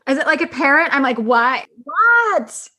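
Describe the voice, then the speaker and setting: A woman guest on a podcast, an actress, chatting casually in an informal, halting way with lots of filler likes. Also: high voice, very animated, sharp tone. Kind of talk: casual chat.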